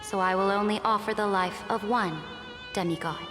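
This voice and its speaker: A woman's voice: mid-range voice, steady tone, moderate pace, close to an everyday voice. A woman playing a stern, resolute ruler in a video game, speaking with grave, imperious deliberation. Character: commanding, solemn female ruler, resolute and stern. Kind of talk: video game story dub acting. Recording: echoing hall reverb, clear music behind.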